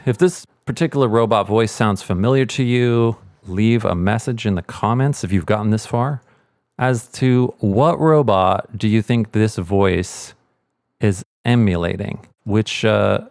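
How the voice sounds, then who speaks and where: A man's voice: lowish voice, a little slow, gravelly, no echo, clean studio sound. A middle-aged man explaining sound mixing in an informal tutorial, thinking aloud as he goes. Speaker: sound mixer giving a tutorial. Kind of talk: casual tutorial walkthrough.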